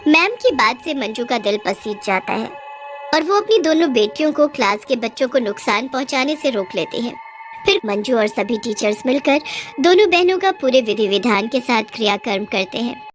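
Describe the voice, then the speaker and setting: Woman, high voice, scripted storyteller narration, children's tales. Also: expressive, normal speed, faint music behind.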